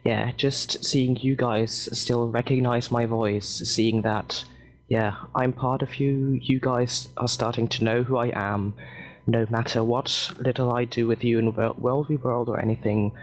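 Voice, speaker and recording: A man with an English accent, raised in Germany, talking earnestly and haltingly in a casual voice chat with his gaming guild. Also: medium pitch, flat delivery, rough recording. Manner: earnest, halting, many restarts. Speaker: guild member. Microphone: headset over voice chat.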